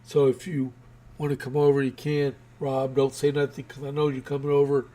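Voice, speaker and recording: A man's voice: mid-range voice, monotone, a little fast, warm tone. A man from Texas hosting his own political news show, talking casually with many fillers and restarts while losing his voice.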